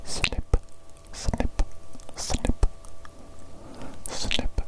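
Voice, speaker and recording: A woman playing an evil doctor in an ASMR medical roleplay, whispering in a breathy, deliberate way with repeated instructions and praise. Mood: hushed, instructing, praising. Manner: slow, deliberate, repetitive, praising. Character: evil doctor calmly examining a big creature.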